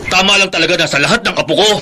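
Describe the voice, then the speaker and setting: Forceful, vengeful Filipino grandfather. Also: high voice, racing, exaggerated voice. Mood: seething, scheming. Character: vengeful, proud grandfather, an ousted politician. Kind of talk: Filipino radio drama acting. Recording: faint music bed behind the voice.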